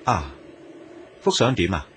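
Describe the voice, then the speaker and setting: A man's voice: low voice, sing-song, measured pace, a little hiss or hum. A man acting as a wistful young man in a radio drama, speaking and narrating in Hong Kong Cantonese. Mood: wry, gentle.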